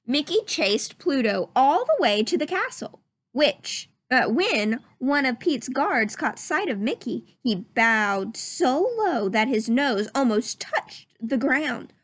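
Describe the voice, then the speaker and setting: A woman reading a children's story aloud as narrator, with expressive, deliberate story-time delivery. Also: sits high. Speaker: storybook reader.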